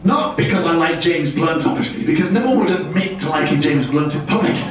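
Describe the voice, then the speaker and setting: A man's voice: a little high, animated, a little fast. A British man giving a business seminar talk with a jokey, self-deprecating manner. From United Kingdom. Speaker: presenter. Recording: very echoey room, distant mic.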